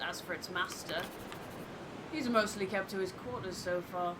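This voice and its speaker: A woman's voice: on the low side, noisy background, natural voice. Wary, reflective first mate read by a woman. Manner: measured, reflective, literary. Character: thoughtful, wary first mate narrating a ship's log.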